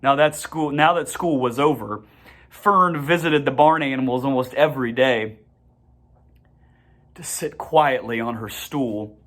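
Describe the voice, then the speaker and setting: A reader narrating a children's storybook aloud in measured, deliberate phrases with the occasional stumble. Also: medium pitch, fairly bright tone.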